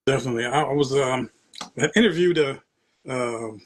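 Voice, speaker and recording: A man hosting a casual interview, putting his questions in a halting way and rephrasing as he goes. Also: medium pitch, slightly rough. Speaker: interview host.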